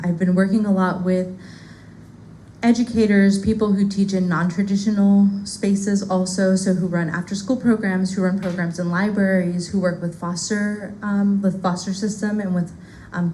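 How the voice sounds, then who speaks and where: A woman's voice: mid-range voice, fairly even intonation, moderate pace, warm tone, slight background noise, low-quality recording. A woman whose first language is Spanish, a poet casually answering audience questions at a bookstore reading, with lots of fillers. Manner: casual, chatty, many fillers and "like". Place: bookstore loft.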